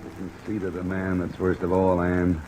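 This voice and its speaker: Deep-voiced hard-boiled American radio detective played by a man. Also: completely flat delivery, normal speed, natural voice. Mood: bitter, frustrated. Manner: terse, hard-boiled delivery. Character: tough, weary male insurance investigator narrating his case. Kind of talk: 1950s American detective radio drama acting. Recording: old radio recording under rain noise.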